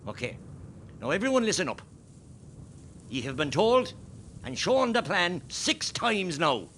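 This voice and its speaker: A man with an Irish accent playing a steady, rousing rebel column commander in a radio play. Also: unhurried, heavily acted. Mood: rallying. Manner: commanding, swinging from quiet briefing to rallying. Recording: faint rumbling background noise.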